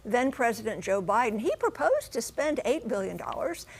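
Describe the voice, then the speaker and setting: A woman's voice: on the high side, big pitch swings, moderate pace, breathy edge. A woman reporting as a TV correspondent in an emphatic, folksy manner with rhetorical questions. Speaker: TV transportation correspondent. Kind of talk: broadcast news report with live studio discussion.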